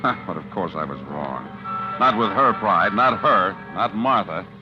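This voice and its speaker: An actor playing a bitter, scheming estranged husband in a 1940s radio mystery, speaking in a halting, brooding way. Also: male voice, deep voice, lively intonation. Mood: brooding suspense. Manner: hesitant, brooding, with halting repeated words. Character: bitter, scheming estranged husband narrating his own tale. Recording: dull 1940s radio recording with music.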